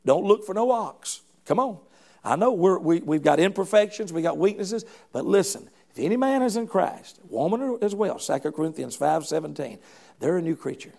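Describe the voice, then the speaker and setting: Middle-aged male preacher, high and gravelly voice, fervent and folksy, frequent restarts. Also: animated, moderate pace, a little mellow. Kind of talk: sermon.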